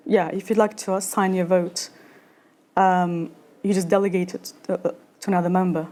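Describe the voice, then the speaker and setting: A woman's voice: fairly low pitch, flat delivery, a little mellow. Woman presenting with frequent ums. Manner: halting, many fillers and restarts. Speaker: conference speaker. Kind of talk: conference talk with audience Q&A. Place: lecture room at a conference.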